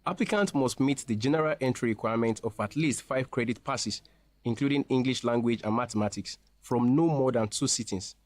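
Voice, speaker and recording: A man speaking as a representative in a scripted promotional interview, explaining things in a formal, measured way. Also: medium pitch, darkish tone, no echo. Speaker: university representative being interviewed.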